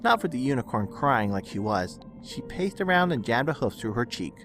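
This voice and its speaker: A man's voice: mid-range voice, very animated, moderate pace, a bit bright. A man reading scripted dramatic story narration. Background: music under the narration.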